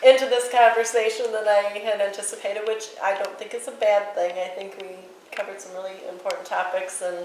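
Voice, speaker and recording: A woman's voice: mid-range voice, flat delivery, fairly quick, few pauses, a little mellow. Earnest woman host in an echoey room. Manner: earnest, appreciative, wraps up the discussion. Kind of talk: round-table discussion. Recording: very echoey room, mic far off, faint noise.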